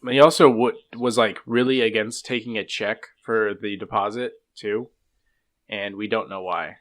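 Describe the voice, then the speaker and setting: A man's voice: lowish voice, steady tone, moderate pace. A man in his twenties co-hosting a casual podcast, hedging with many fillers and trailing off. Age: 20s. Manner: hedging, lots of likes, trailing off. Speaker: podcast co-host. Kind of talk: casual chat.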